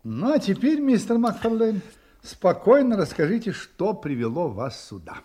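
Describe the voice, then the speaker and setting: A self-assured gentleman detective in a radio play. The voice is a little high for a man and swings expressively. He is courteous and shrewd, with a wry, ironic edge. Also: sing-song, normal speed. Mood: calm, inquiring. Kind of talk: Russian audio drama acting.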